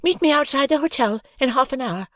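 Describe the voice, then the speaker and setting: A narrator voicing a young girl detective, speaking high and trying to sound calm and confident. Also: a little fast, dry recording, clean studio sound. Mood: reassuring. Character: girl sleuth Hoggy, calm and steady. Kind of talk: audiobook character voice.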